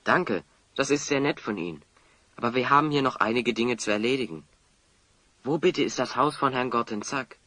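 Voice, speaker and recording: An actor playing a level-headed young adventurer hero, articulate and courteous, in a children's audio drama. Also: male voice, mid-range voice, normal speed, sharp tone, dry recording. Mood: polite.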